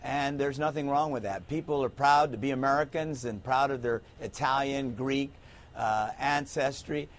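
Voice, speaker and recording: A man who is a mayor, speaking in a measured way with frequent hesitant pauses on a TV panel. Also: medium pitch. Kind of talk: TV panel discussion.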